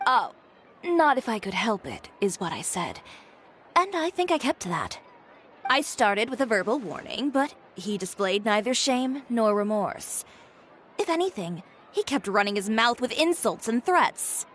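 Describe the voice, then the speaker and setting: An actress playing a composed, proud dressmaker who talks calmly with dry, cutting sarcasm. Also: female voice, fairly high pitch, unhurried, slight background noise.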